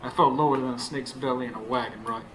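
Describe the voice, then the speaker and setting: An actor playing an earnest, scheming Southern husband in a radio drama, with a folksy, confiding way of talking. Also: male voice, mid-range voice, steady tone, fast talker, fairly bright tone, close to an everyday voice, poor audio. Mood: confiding, rueful. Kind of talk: radio drama acting with first-person narration. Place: stage before a live studio audience. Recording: live radio show with clear background noise.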